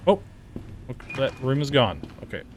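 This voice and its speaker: A man's voice: mid-range voice, very animated, normal speed, noisy background. Man casually commentating on a game. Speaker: game reviewer and player.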